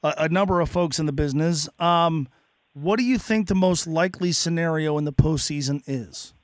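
A man hosting a sports radio show in a relaxed, casual way, with easy filler words. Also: a little high, normal speed, dry recording. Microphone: studio microphone.

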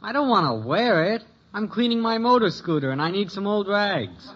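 Plain-spoken family son, high youthful pitch, 1950s radio comedy, dull old recording. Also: male voice, animated, normal speed, fairly bright tone, husky, heavily acted. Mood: earnest. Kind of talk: 1950s radio sitcom acting.

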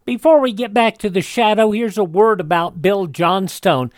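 A man's voice: sits high, moderate pace, dry recording. A man hosting a classic radio programme, presenting background notes informally at a close studio microphone. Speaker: radio show host.

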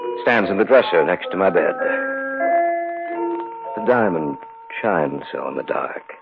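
A man's voice: low-pitched, expressive, a little slow, gravelly, heavily acted. An American actor playing a cold-blooded, scheming murderer who narrates his crime in 1950s radio horror style. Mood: hushed unease. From United States. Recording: muffled old radio recording, loud music.

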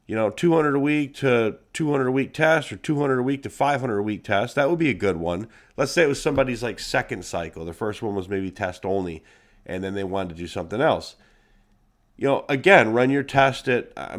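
A man hosting his own podcast, talking casually and bluntly with a congested, nasal voice and lots of swearing. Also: medium pitch. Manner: blunt, profane, animated. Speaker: podcast host. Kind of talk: casual solo podcast monologue.

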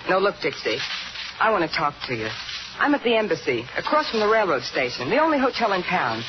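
A woman's voice: on the low side, sing-song, a little fast. A woman with an American accent playing a wistful, drifting former band singer in a 1950s radio drama. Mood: wistful, hesitant. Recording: muffled 1950s radio, clearly noisy.

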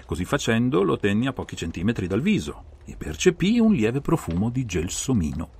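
A man playing a brilliant, self-assured detective who explains a case in a measured, didactic, theatrical way for a dramatised audiobook. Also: lowish voice, huge pitch swings, a little mellow, slight background noise. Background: music.

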